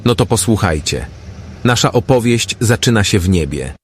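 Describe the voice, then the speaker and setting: A man's voice: on the low side, lively intonation, moderate pace, noisy background, close to an everyday voice. A man acting a folksy storyteller who narrates an audio tale, addressing his dear listeners directly. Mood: fond, inviting.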